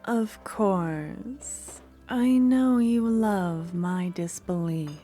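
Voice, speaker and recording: A woman's voice: mid-range voice, drawn-out words, faint music behind. A woman playing a doting possessive shopkeeper. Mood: soft, admiring. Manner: soft, intimate, slips into whispers. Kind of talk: ASMR audio roleplay.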